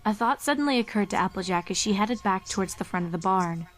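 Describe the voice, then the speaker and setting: A woman narrates a dark fan fiction story in a mid-range voice. She reads from the text as storyteller, with music playing behind her. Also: medium pitch, lively intonation, quick pace. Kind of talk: scripted horror story narration.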